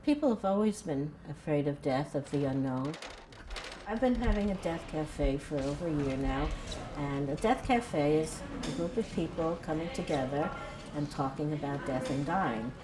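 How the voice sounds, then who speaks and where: A woman's voice: low-pitched, animated, moderate pace, background noise. An elderly woman, a retired nurse talking frankly and thoughtfully at her home in a documentary interview. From Brooklyn, New York. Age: 80s. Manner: frank, plain-spoken and reflective. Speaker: retired nurse and death cafe organizer.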